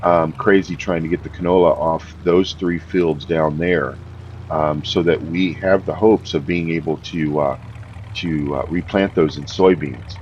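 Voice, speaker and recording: A man hosting a farming game, talking casually in a deep morning voice, easygoing and rambling with many fillers. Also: steady tone, unhurried, darkish tone, muffled recording, slight background noise. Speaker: game host. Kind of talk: casual gameplay commentary.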